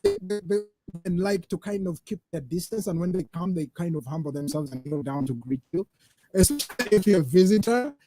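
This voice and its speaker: A man's voice: sits high, expressive, brisk, music in the background, poor audio. A man from Uganda and Sudan hosting an interview, speaking with many restarts as he asks questions. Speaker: host. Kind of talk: casual interview.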